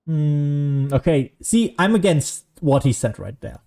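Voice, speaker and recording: A man's voice: on the high side, expressive, measured pace, a little mellow. A young man giving casual gameplay commentary as a streamer, musing and arguing aloud and swearing casually. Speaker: game streamer. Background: game music.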